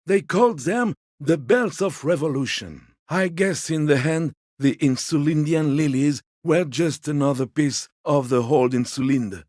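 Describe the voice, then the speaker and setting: An actor voicing a gentle, sociable elderly man in a video game, courteous and wistful, teasing softly and taking his time. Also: fairly high pitch, unhurried, few pauses, no room sound, clean studio sound. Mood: reflective. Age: 70s or older. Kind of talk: video game character dialogue.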